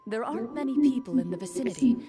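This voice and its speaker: A woman voicing a cool, composed young woman who runs a game in a video game, speaking as a calm, measured storyteller. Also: high-pitched, natural voice. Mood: narrating. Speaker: game master. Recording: game audio with faint music behind.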